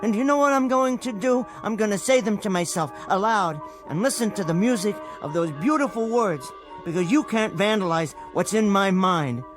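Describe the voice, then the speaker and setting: An American actor playing a timid, bookish, henpecked middle-aged husband in a radio drama, with hesitant, stammering speech and a gentle dignity. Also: male voice, high voice, expressive, moderate pace, over music. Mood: hurt, defiant. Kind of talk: scripted radio drama acting.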